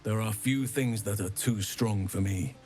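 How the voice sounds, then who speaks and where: An actor playing a grave, courteous, scholarly druid in a video game, speaking in an earnest, measured and formal way. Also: male voice, on the low side, close to an everyday voice. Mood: regretful, sombre. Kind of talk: video game character dialogue. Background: loud-ish background music.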